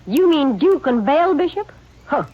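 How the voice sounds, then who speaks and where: A woman's voice: high voice, sing-song, moderate pace. A prickly spinster landlady. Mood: indignant. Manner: folksy, indignant, drawling country talk. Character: fussy spinster boarding-house owner, thrifty and suspicious. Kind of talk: 1950s radio drama acting. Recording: dull old radio broadcast recording.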